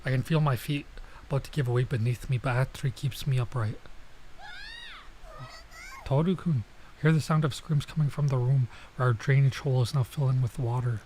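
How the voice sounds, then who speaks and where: A man's voice: mid-range voice, moderate pace, long pauses, darkish tone, rough recording. Casual man streaming over noticeable background noise. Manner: casual, reacts with asides and stumbles over lines. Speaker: streamer playing and reading a visual novel. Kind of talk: let's play, reading game text aloud with casual commentary.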